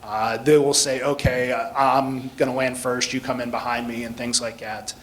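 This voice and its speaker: A man's voice: mid-range voice, flat delivery, normal speed. An American man speaking as a guest instructor, explaining things plainly in an informal talk with questions from listeners. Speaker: guest speaker, air traffic control instructor.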